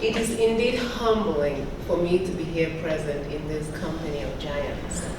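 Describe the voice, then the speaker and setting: A woman physician opening a formal commencement speech, gracious and formal as she thanks her hosts. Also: fairly low pitch. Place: large hall. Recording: echoey hall, distant and noisy.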